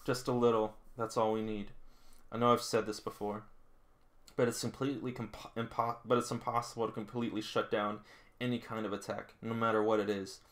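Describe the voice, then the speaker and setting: A man's voice: fairly low pitch, little pitch movement, fairly quick, fairly bright tone. Man reading aloud, stumbling now and then. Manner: stumbles and rereads lines, chuckles at the story. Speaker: manga read-along host. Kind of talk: reading manga aloud with casual commentary. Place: small indoor room with figurines.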